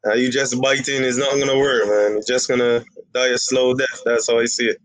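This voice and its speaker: A man's voice: mid-range voice, level tone, brisk, no room sound, rough recording. Laid-back Barbadian man on a call. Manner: laid-back, confident opinions on battle rap. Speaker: guest caller. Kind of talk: casual chat.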